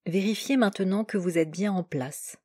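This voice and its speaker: A woman leading a guided relaxation meditation as a yoga nidra instructor, giving calm, measured instructions in short, separated phrases. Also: mid-range voice, slightly rough, no echo, studio quality.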